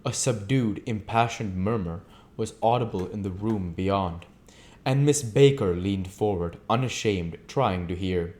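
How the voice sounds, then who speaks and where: Low-voiced man reading a novel aloud. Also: measured pace, a little mellow. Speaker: amateur audiobook reader, channel host.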